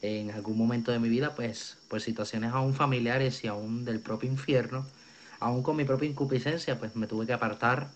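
A man's voice: mid-range voice, fairly even intonation, moderate pace. A young man in his twenties with a Puerto Rican accent, giving his testimony as an evangelist over a video call. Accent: Puerto Rican Spanish. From Puerto Rico. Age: 20s. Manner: earnest, preacherly, many fillers. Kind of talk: testimony in an interview. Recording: dull video call audio, faint noise.

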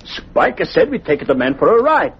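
An actor playing an effusive, nervous Italian restaurant owner in old radio drama, speaking broken English and calling himself by name. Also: male voice, on the high side, big pitch swings, normal speed, breathy edge, heavily acted. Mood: nervous, pleading. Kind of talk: old-time radio acting. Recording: dull, lo-fi old radio recording.

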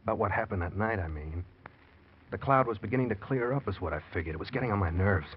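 An actor playing a scheming, guilty husband who feigns tender concern, in old-time radio drama style. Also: male voice, lowish voice, animated, fast, slight background noise, close to an everyday voice. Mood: tense confession. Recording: muffled vintage radio recording.

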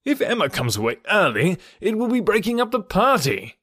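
A man voicing a sociable, persuasive country gentleman in a classic novel, genial, emphatic and insistent. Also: fairly high pitch, very animated, moderate pace, no room sound, clean studio sound, pitched above the performer's own voice. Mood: protesting. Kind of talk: audiobook character voice from a classic novel.

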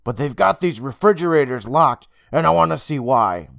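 A reader voicing a bold, bossy young girl who gives brisk, exclamatory orders. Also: medium pitch, expressive, vocal fry, a bit bright, no room sound, clean studio sound, pitched above the performer's own voice.

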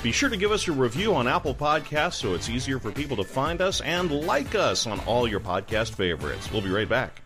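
A man's voice: medium pitch, sing-song, a little fast, hardly any pauses. A male podcast host reading an upbeat, scripted break announcement. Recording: over music.

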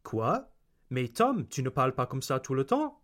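A man voicing an indignant French-speaking listener who protests in a quick, accusing outburst. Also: medium pitch, very animated, fairly bright tone.

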